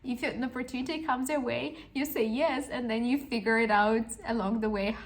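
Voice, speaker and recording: Young woman with a creaky, flat voice. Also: fairly high pitch, fairly quick. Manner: earnest and encouraging, with frequent restarts. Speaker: podcast host. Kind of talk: reflective personal storytelling monologue.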